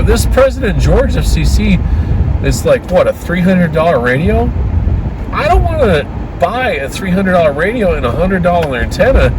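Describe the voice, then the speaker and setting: Man vlogging over loud road noise. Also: fairly high pitch. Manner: conversational, opinionated, frequent fillers and restarts. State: dry throat, occasional coughs. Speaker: ham radio vlogger. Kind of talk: casual rambling vlog talk.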